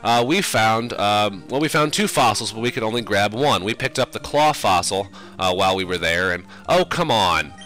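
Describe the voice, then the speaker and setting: A man's voice: lowish voice, animated, normal speed, few pauses, fairly bright tone, soft background music. A young man with a cold, sounding sinusy, casually rambling through let's play commentary with lots of fillers. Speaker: game commentator.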